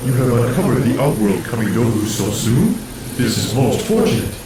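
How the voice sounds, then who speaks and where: An actor playing a solemn, commanding spirit guide in a video game, speaking gravely and formally in an echoing, distant, noisy sound. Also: male voice, mid-range voice, sing-song, normal speed, music in the background, natural voice. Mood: solemn, instructive. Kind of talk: video game cutscene acting. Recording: echoing distant voice with clear noise.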